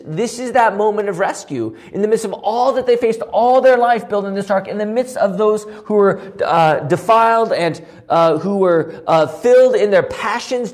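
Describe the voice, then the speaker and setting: A man with a hoarse voice teaching a Bible passage, asking questions and answering them himself. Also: a little high, darkish tone. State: losing his voice, hoarse. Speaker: pastor teaching a Bible study.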